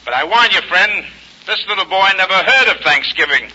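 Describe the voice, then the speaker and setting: Sharp, sardonic cop on the phone. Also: male voice, high voice, expressive, brisk, husky, exaggerated voice. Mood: impatient, blunt. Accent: American. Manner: sardonic, impatient, emphatic. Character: irritable, sardonic male police lieutenant phoning a friend. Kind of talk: 1950s American radio detective drama acting. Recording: thin, dull vintage radio recording.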